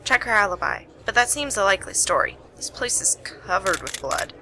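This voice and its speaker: A woman's voice: medium pitch, expressive, a little fast, pushed and bright, a little hiss or hum, poor audio. A woman playing a wry, weary young private detective in an amateur radio play, sardonic and businesslike. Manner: sardonic, exasperated sighs.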